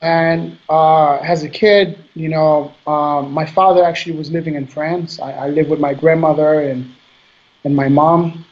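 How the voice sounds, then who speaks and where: A man in his thirties from Haiti answering interview questions in a soft, hesitant way, often repeating words. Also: fairly high pitch, steady tone, unhurried, lo-fi sound. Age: 30s. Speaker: guest bodybuilder.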